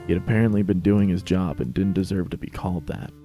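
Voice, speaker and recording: A man's voice: low-pitched, fast talker, dark tone, soft background music. A man narrating a scripted story as a dramatic reading.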